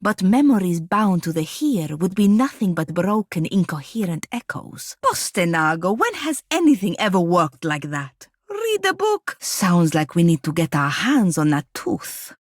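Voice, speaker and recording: Haughty, sharp-tongued wizard woman. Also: lowish voice, sing-song, measured pace, hardly any pauses, no echo. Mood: dry, aloof. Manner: disdainful, dry, impatient, formal wording. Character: haughty, sharp-tongued female wizard, a ship's crew sidekick. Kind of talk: video game character dialogue and battle barks.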